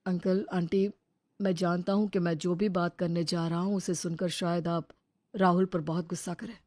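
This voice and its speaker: A woman's voice: level tone, normal speed, mellow, no room sound, close to an everyday voice. A woman voicing a young adult elder brother in a storybook drama, speaking firmly and earnestly. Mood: calm. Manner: firm, earnest, mostly low-key. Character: young adult male elder brother, protective and level-headed. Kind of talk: dramatized Hindi storybook dialogue.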